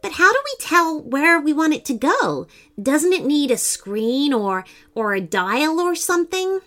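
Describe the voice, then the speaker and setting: A woman's voice: high voice, very animated, a little slow, bright, forceful tone, soft background music, pitched above the performer's own voice, heavily acted. A woman voicing a curious, enthusiastic young boy with a polite, questioning manner in a children's story. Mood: doubtful.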